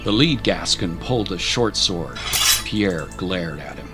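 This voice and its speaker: A man's voice: low-pitched, expressive, unhurried. A man narrating a scripted dramatic story as an audiobook narrator. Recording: music under the voice.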